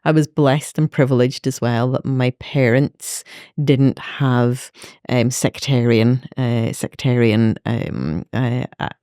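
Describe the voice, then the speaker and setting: A middle-aged woman with a Belfast accent, a medical educator speaking reflectively as an interview guest, with many pauses and fillers. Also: extremely low pitch, mellow, clean tone, dry recording, studio quality. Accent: Northern Irish, Belfast. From Northern Ireland. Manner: thoughtful, many fillers and restarts.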